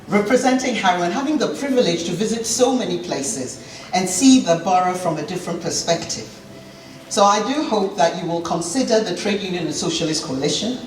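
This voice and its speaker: Middle-aged woman candidate, earnest and passionate, hall echo, mic far off. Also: medium pitch. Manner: earnest, passionate, repeats herself. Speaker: election candidate. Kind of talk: campaign speech at a debate. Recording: roomy hall, distant mic, faint noise.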